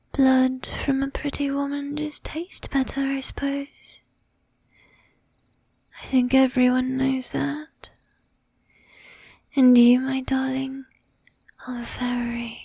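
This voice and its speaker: Woman playing a breathy, slow-whispering seductive vampire lady. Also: high voice, dark tone, no room sound, exaggerated voice. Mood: seductive, adoring. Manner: slow, teasing, commanding, with archaic polite phrasing. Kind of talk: whispered ASMR roleplay.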